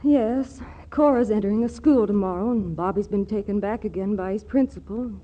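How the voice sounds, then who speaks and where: An actress playing a poised, skeptical governess, expressive and questioning, in a 1940s radio comedy. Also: female voice, mid-range voice, normal speed, close to an everyday voice. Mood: poised, curious. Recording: muffled 1940s radio recording.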